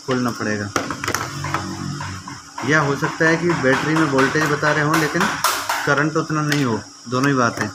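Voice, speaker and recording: A man's voice: mid-range voice, heavy background noise. A man presenting an informal how-to demonstration, explaining step by step in a conversational way and addressing viewers like friends. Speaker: repair tutor presenting a how-to video.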